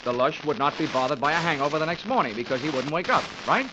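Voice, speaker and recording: Man playing a fast-talking hard-boiled American investigator. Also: fairly high pitch, lively intonation, muffled recording, natural voice. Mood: urgent, sardonic. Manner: brash, sardonic, snappy. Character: tough, wisecracking, hard-boiled male investigator. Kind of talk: 1940s radio acting. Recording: noisy vintage radio recording.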